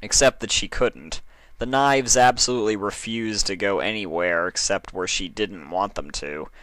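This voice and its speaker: A man's voice: lowish voice, moderate pace, fairly bright tone, no echo. A man reading a story aloud as a scripted narrator. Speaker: fan fiction reader, narrator.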